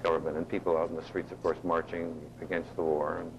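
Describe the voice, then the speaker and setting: Halting deep-voiced man, dull sound. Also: flat delivery, gravelly, noisy background. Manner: halting, many fillers. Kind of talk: interview answers.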